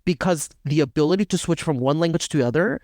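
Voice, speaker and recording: A man's voice: a little high, fast talker, darkish tone, dry recording, studio quality. A man co-hosting a podcast, talking through ideas in a casual academic discussion and often checking in with "right?". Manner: thinks aloud with fillers and restarts, often adds "right?". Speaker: podcast co-host.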